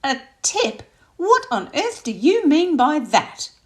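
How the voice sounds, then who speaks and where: A woman's voice: high-pitched, big pitch swings, slow pace, voice pushed up, exaggerated voice. A woman voicing a blunt middle-aged Australian mother in an audiobook, indignant and emphatic as she complains. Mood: outraged.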